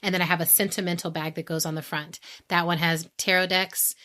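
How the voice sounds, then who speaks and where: A woman's voice: on the low side, little pitch movement, brisk, bright, forceful tone. An American woman talking casually and reflectively as a podcast co-host, giving advice and often voicing imagined quotes.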